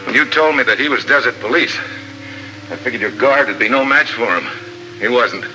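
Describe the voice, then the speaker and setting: An actor playing a tough, cool American undercover agent in 1950s radio drama style, clipped and wry. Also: male voice, mid-range voice, fairly quick, sharp tone, low-quality recording. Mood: curt, irritated. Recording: old radio recording, faint noise.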